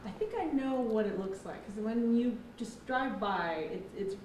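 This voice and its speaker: A woman's voice: mid-range voice, a little slow, darkish tone. A woman news reporter asking casual interview questions. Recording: echoey room, background noise.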